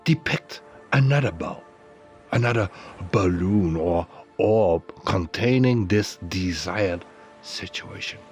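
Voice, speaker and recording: A man narrating a guided meditation in a soothing, deliberate way, leaving pauses between phrases. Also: low voice, lively intonation, faint music behind.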